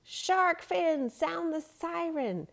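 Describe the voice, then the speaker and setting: A woman voicing a playful, excitable young schoolboy in a children's storybook, exclaiming expressively. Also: extremely high pitch, extremely slow, vocal fry, pitched above the performer's own voice, full character voice. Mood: alarmed, teasing. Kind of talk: children's storybook read-aloud.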